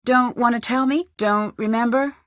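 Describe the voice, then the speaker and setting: Actress as young female sleuth for adventure game: slightly high voice, earnest, sympathetic, persistent, studio-dry. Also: steady tone, normal speed, a bit bright, dry recording, natural voice. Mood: earnest, probing. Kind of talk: video game character acting.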